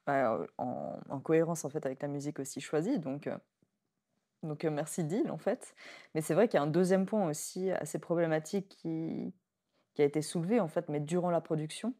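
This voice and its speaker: A woman, hosting a podcast in relaxed conversation. She talks reflectively and laughs easily. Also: low-pitched, brisk. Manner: chatty, reflective, laughs easily, leans on "en fait". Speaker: podcast host.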